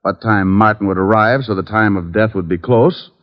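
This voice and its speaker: A man's voice: on the low side, moderate pace, close to an everyday voice. A man playing a wisecracking private detective in a mid-century radio drama, dry and glib in a hard-boiled style. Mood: probing. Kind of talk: 1950s radio acting with hard-boiled narration. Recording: muffled old-time radio recording.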